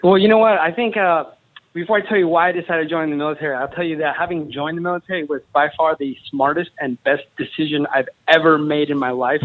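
A man in his thirties from Mexico tells his story in a quick, animated flow, keen and ready with a joke. His voice sits a little high for a man. He comes through a tinny, phone-like line. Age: 30s. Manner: enthusiastic, jokey storyteller. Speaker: guest, company founder and Army veteran. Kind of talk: casual interview chat.

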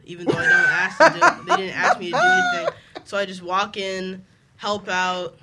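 High, bright-voiced twelve-year-old boy. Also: very animated, moderate pace, a little hiss or hum. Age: twelve years old. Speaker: homeschooled student guest. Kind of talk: casual interview answers.

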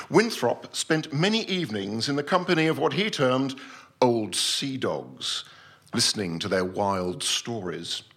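Man playing a pompous, husky scientist-explorer. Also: medium pitch, a bit bright, exaggerated voice. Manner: pompous, formal, deliberate, theatrical. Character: pompous, self-deluded male scientist-explorer dictating a voyage report. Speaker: actor reading a story on stage. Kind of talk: dramatic first-person short story reading at a live night.

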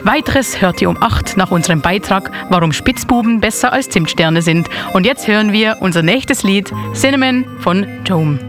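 A radio newsreader, played by a woman delivers a bulletin in a Swiss accent. The voice is medium-pitched, with music clearly playing behind it. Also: expressive, moderate pace, few pauses, lightly acted. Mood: businesslike. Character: radio newsreader reporting breaking news. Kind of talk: news bulletin and song announcement.

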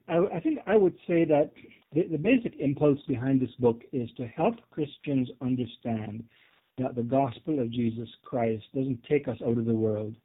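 A middle-aged man with a Jamaican accent explains his ideas in an earnest, measured way. He sounds like a thoughtful professor being interviewed. His voice comes through a thin, phone-like line. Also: medium pitch, husky. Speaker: guest theology professor. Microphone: telephone.

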